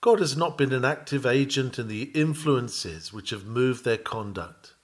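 A man's voice: mid-range voice, lively intonation. Earnest man reading a sermon. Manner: earnest, measured, preacherly delivery. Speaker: sermon reader. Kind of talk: reading a Victorian sermon aloud.

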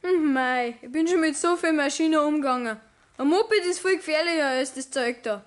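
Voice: high-pitched, normal speed, lightly acted. A young actor playing a cheeky, haughty teenage apprentice boy with a Bavarian accent, grumbling offhand back talk in a 1960s radio play. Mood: cheeky, defiant.

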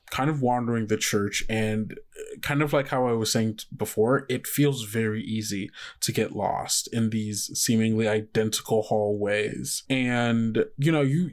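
A man's voice: mid-range voice, darkish tone. Man narrating a tabletop game. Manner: conversational, halting descriptions with frequent hedging "like". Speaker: game master of an actual play podcast.

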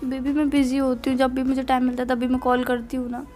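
Young female, Indian accent, highish pitch, casual couple's phone chat, teasing and defensive, faint music. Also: completely flat delivery, fast, darkish tone. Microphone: phone call.

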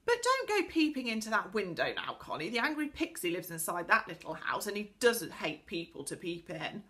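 Woman as a lively, bright-voiced young boy. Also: medium pitch, normal speed. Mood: warning. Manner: lively, matter-of-fact, calling out. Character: Joe, brisk, teasing young boy.

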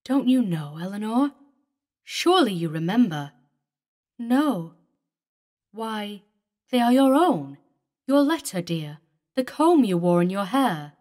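A woman's voice: medium pitch, big pitch swings, slow, pauses often, darkish tone. Woman voicing a yearning aging man. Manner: pleading, emotional.

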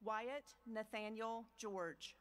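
A woman with a slightly high voice reads out graduates' names at an outdoor ceremony. She speaks slowly and formally into a podium microphone, pausing after each name. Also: steady tone, clean tone. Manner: slow, measured, pausing between names. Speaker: dean reading graduate names.

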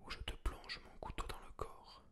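A reader whispering the lines of a wild, cruel little robber girl from a fairy tale, in the same hushed voice as the narration. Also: normal speed, lightly acted. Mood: teasing. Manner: whispered throughout. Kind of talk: whispered ASMR storybook reading.

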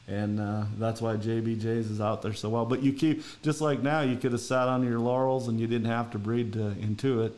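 Middle-aged Minnesotan man, podcast host, mid-pitched voice, rambling, folksy. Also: little pitch movement, moderate pace, few pauses, darkish tone. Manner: rambling, folksy, many fillers. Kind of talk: casual interview chat.

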